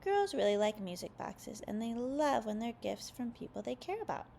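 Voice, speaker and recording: A woman's voice: a little high, big pitch swings, moderate pace, vocal fry, mellow, a little hiss or hum. A woman voicing a teasing, self-assured schoolgirl in a children's storybook, with a coy manner.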